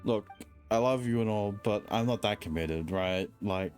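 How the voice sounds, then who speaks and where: A man's voice: lowish voice, moderate pace, darkish tone, soft background music. A man streaming a video game, giving casual, joking reactions in a hesitant, stammering way.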